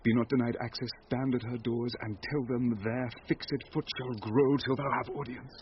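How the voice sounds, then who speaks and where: A man's voice: mid-range voice, steady tone, lightly acted. An actor as an ardent nobleman, noisy recording. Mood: intimate, earnest. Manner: romantic, declamatory, measured. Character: lovesick noble lord, ardent and commanding. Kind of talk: Shakespearean radio drama acting. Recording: dull recording with noisy ambience.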